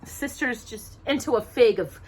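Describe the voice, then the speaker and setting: A woman reading a story aloud to children in an expressive way, now and then stumbling over words. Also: fairly high pitch, moderate pace, heavy background noise.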